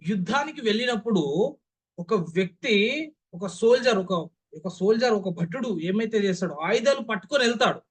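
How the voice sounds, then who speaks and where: Young man, Telugu accent, high voice, public speaking trainer, emphatic lecture style, rhetorical questions. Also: a little fast, husky. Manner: emphatic, stressing key words, checking understanding.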